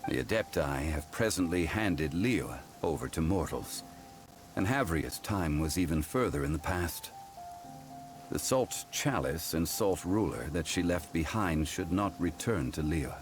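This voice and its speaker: An actor voicing an ancient god in human form, a calm and dignified scholarly man who speaks formally and without hurry, with game music underneath. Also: low-pitched. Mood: solemn. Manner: measured, formal and unhurried. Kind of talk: game cutscene voice acting.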